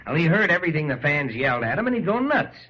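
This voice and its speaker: An actor playing a hearty, good-natured big star ballplayer in an old radio drama. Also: male voice, on the high side, very animated, fast talker, bright, forceful tone, breathy edge, exaggerated voice. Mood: amused, pleased. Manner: stammering when flustered, chuckling when pleased. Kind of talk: 1930s-set radio drama acting. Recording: dull, lo-fi old radio recording.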